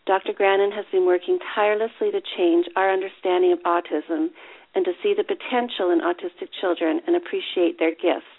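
A woman's voice: on the low side, fairly even intonation, a little fast, breathy edge. A woman hosting a podcast interview from her office, talking in a chatty, upbeat way with frequent um and uh fillers. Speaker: podcast host. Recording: tinny phone line.

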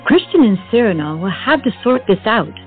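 An actress playing a playful, theatrical announcer giving scripted narration for a stage play. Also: female voice, fairly high pitch, very animated, brisk, phone-quality sound, soft background music. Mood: playful teasing.

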